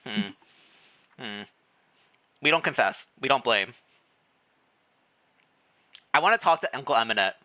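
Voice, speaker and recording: A streamer reading fan fiction aloud in a playful, expressive way, mixed with casual livestream chat. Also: mid-range voice, unhurried, long pauses.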